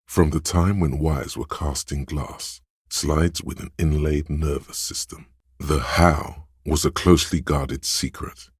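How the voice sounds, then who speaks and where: An actor voicing a man's brooding, tactile inner voice in a game, narrating with husky gravel in a deliberate, dramatic, literary manner. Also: extremely low pitch, fairly even intonation, no echo, studio quality, full character voice. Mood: grave, measured.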